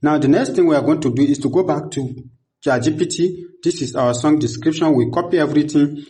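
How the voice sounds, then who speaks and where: A man's voice: a little high, fairly quick, dark tone. A man hosting a step-by-step screen tutorial, narrating each click aloud in a repetitive, instructional way. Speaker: tutorial host.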